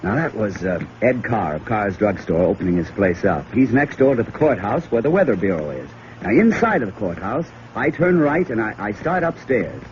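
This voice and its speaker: An old, muffled radio recording of an American man as an earnest small-town weatherman. He is folksy and emphatic, his pitch swinging freely as he talks. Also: sing-song, normal speed, a little hiss or hum. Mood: explaining. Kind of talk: 1940s American radio drama acting.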